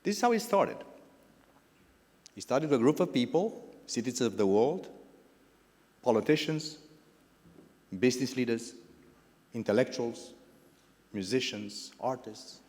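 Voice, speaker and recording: A middle-aged man with a Romanian accent gives a halting welcome speech as founding president, restarting his phrases and piling up lists of words. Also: medium pitch, animated, darkish tone. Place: large indoor gala hall. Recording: reverberant banquet hall over a PA.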